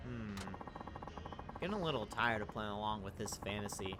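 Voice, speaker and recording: A man's voice: fairly low pitch, animated, normal speed, close to an everyday voice. A man reading aloud the lines of a stoic middle-aged male ex-yakuza taxi driver, with dry, wry asides, over game music.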